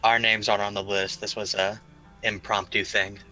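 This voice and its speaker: Bright, low-voiced man playing tabletop. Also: level tone, normal speed, faint music behind, rough recording. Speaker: tabletop game player. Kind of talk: casual roleplay chat.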